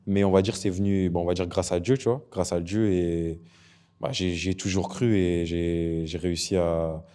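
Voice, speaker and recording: A young man from Ivory Coast, a professional footballer, chatting casually as a guest, relaxed and slangy, repeating himself often. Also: low voice, normal speed, clear, resonant tone. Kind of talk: casual interview chat.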